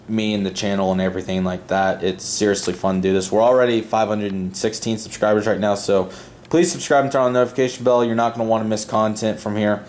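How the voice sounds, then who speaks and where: Casting: male hobby vlogger, fairly low voice, unscripted and earnest, wandering from point to point. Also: darkish tone, slight background noise. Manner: rambling, earnest. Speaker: host of a model airport video channel. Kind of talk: casual unscripted vlog voice-over.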